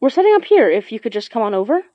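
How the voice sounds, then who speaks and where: Animated, earnest occultist. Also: female voice, on the high side, racing, dry recording, muffled recording. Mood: eager. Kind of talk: audio fiction podcast acting.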